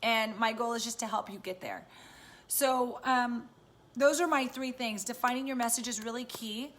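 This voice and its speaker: Raspy woman, slightly high voice. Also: moderate pace, fairly bright tone. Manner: chatty and encouraging, many fillers. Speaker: live-stream host and business coach. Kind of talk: casual live stream talk to viewers. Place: at home.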